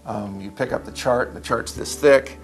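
A man's voice: medium pitch, moderate pace, soft background music. A man who is an emergency physician, recalling his early training in a documentary interview, rambling and anecdotal with many fillers.

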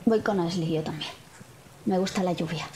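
A woman's voice: lowish voice, normal speed, a little mellow, slight background noise. A woman with a Spain accent improvising conversational in-character dialogue as a player in a tabletop role-playing game.

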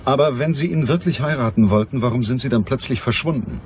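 An actor playing a curt, mocking private detective in a crime radio drama, speaking with a dry manner. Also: male voice, medium pitch, fast, a little mellow, slight background noise, natural voice. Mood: skeptical, curt. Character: hard-boiled, sardonic male private detective. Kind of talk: German crime radio drama acting and narration.

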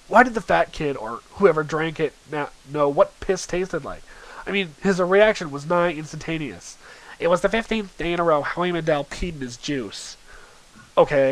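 A man's voice: a little high, lightly acted, rough recording. Man reading as flippant, casual teenage boy. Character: teenage boys bantering in online chat, flippant.

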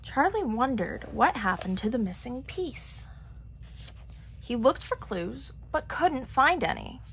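A woman reads a children's picture book aloud at a slow, deliberate pace. Her delivery is expressive, with a lively, widely moving pitch. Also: vocal fry, slight background noise. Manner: expressive, acting out characters' lines. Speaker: storybook reader.

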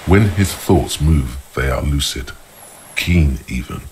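An actor playing a grave, observant inner-voice narrator in a video game, speaking in measured, portentous literary prose. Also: male voice, very deep voice, expressive, a little mellow, slight background noise, exaggerated voice. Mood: grave, analytical.

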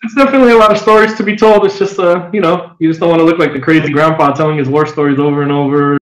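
A man in his forties, born in Japan and raised near Los Angeles, a guest telling stories in a relaxed, easygoing way on a video-call podcast. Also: fairly high pitch, racing. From born in Japan, raised east of Los Angeles. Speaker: guest, fighting game veteran. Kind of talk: casual podcast interview over video call. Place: room at home. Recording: roomy, dull lo-fi webcam audio.

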